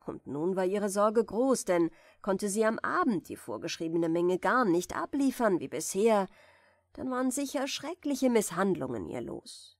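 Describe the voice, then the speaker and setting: A woman reading a fairy tale softly and soothingly as a bedtime audiobook narrator, in a measured way. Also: mid-range voice, big pitch swings, dry recording, clean studio sound. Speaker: audiobook reader of fairy tales.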